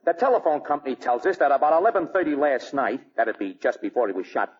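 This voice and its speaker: Man playing a quick-talking police lieutenant. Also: medium pitch, big pitch swings, lightly acted. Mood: businesslike. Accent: American. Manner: businesslike, plain-spoken. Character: brisk, matter-of-fact male police lieutenant. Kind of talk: 1940s radio drama acting. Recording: muffled, noisy 1940 radio recording.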